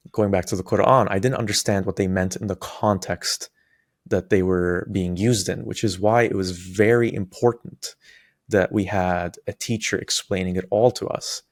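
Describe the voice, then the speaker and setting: A man's voice: sits low, dry recording, clean studio sound. A young man from a Palestinian background, a native Arabic speaker, telling his personal story on his channel in an explanatory way, with frequent fillers and restarts. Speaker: YouTuber.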